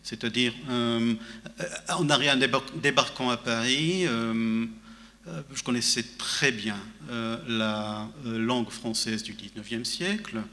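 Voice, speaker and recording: Guy on a festival panel, bright voice, lots of euhs, big hall echo. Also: mid-range voice, unhurried. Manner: hesitant, many fillers and restarts. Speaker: panel guest. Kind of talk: panel discussion. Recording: reverberant hall.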